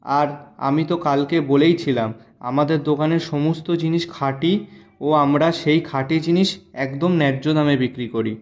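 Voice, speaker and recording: A man's voice: on the high side, lightly acted. Man voicing an honest cartoon grocer, slightly dull sound. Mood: courteous, assuring. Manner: earnest, courteous, measured assurances. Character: honest, principled village grocer and loyal friend. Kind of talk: Bengali moral-story cartoon dubbing.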